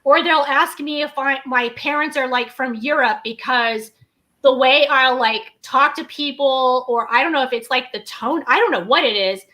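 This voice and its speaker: A woman co-hosting a casual chat, telling lively stories and acting out what people said to her. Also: sits high, normal speed, low-quality recording. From Southern United States. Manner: animated storyteller, acts out quotes, laughs often. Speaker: co-host.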